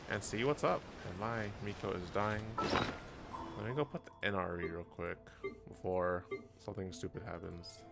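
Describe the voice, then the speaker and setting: A man's voice: sits low, vocal fry, heavy background noise. A man doing casual gameplay commentary as a streamer, thinking aloud in an offhand, slangy way. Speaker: gaming streamer. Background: game music.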